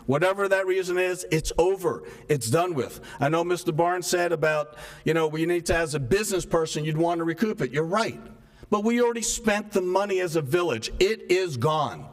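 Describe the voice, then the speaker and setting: Soft-spoken man, slightly high voice, resident at a council meeting's public comment, earnest, leans on rhetorical questions. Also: normal speed. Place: town hall meeting room. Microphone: microphone stopped working partway.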